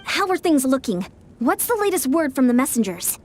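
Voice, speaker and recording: An earnest, high-voiced young warrior. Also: female voice, sing-song, moderate pace, darkish tone, soft background music. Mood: concerned. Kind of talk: video game dialogue acting.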